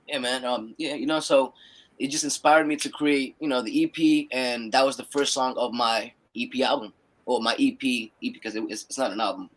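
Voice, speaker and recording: A young man from Peru, raised in the United States, talking as a musician guest in a relaxed way, often repeating words and checking in with "right?". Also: mid-range voice, brisk, rough recording. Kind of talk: casual interview chat.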